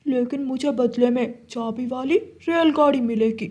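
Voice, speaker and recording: A woman's voice: high-pitched, normal speed, darkish tone. A woman voicing a mischievous, greedy young male cartoon crow with a wheedling, childlike manner. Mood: smug. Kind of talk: cartoon story dialogue.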